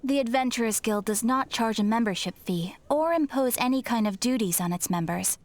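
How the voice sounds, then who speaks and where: Woman playing a high-voiced, formal guild receptionist. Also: lively intonation, dry recording, close to an everyday voice. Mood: polite, instructive. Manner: courteous, formal, measured explaining. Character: polite young female adventurers guild receptionist, helpful and formal. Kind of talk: video game voice acting. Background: game music.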